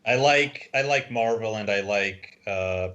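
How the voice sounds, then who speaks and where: A man's voice: fairly low pitch, measured pace, fairly bright tone, dull audio. A man chatting casually about games as a podcast panellist.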